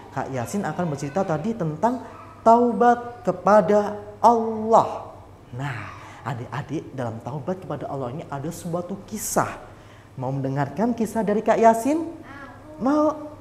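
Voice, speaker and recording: A man's voice: high-pitched, a little hiss or hum. A man telling an Islamic story to children, often asking them questions. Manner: slow, lively, quizzing the children. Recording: echoey hall with faint ambience.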